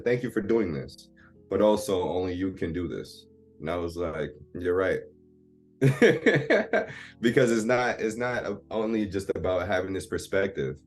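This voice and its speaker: A man in his thirties from Jamaica, talking candidly and confidently about himself in a casual therapy conversation. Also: low-pitched. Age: 30s. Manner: candid, self-assured, open. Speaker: therapy client guest.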